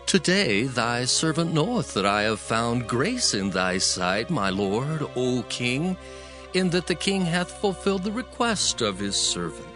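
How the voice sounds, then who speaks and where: An actor playing a loyal army commander in a dramatized scripture reading, speaking formally and deferentially. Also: male voice, medium pitch, very animated, unhurried, over music. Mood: grateful.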